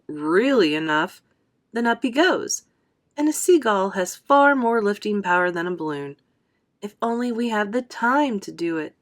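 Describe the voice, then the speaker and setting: A woman playing a calm, determined young boy in a storybook, explaining firmly. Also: fairly high pitch, pitched above the performer's own voice. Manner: firm, explanatory.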